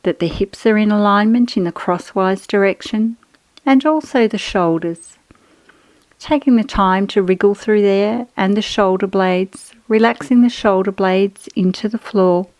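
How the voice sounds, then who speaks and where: Woman, yoga nidra guide, soothing, measured, instructional, pausing between phrases, mid-pitched, dry close recording. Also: darkish tone, no echo. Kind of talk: guided relaxation meditation.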